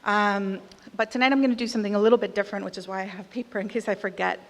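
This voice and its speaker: A woman's voice: mid-range voice, fairly even intonation, racing. A woman from Costa Rica with an American accent, in her thirties, giving a candid, conversational speech at an awards event, with some emphatic punches. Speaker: award speaker. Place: large hall. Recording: reverberant hall.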